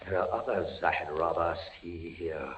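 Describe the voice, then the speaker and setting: An actor playing a terrified, feverish prisoner, a captain condemned to torture, with intense theatrical 1940s radio delivery. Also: male voice, low voice, moderate pace, natural voice. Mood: hushed dread. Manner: intense, theatrical, breathy hushed dread breaking into cries. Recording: muffled, noisy old radio recording.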